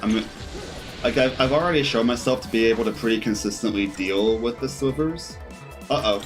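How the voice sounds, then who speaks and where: A man's voice: mid-range voice, normal speed. A man giving casual live gameplay commentary as a streamer, rambling and thinking aloud as he goes. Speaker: video game streamer. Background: game music.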